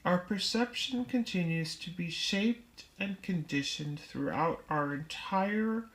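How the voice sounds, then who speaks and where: Female narrator for a nonfiction audiobook, unhurried and deliberate, pausing between phrases. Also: on the low side, low-quality recording. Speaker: audiobook reader. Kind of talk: scripted book narration.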